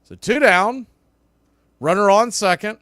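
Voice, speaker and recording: A man's voice: sits high, unhurried, a bit bright, no echo, studio quality. A man calling a baseball game play by play in clipped phrases that build on big plays. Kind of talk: live play-by-play commentary.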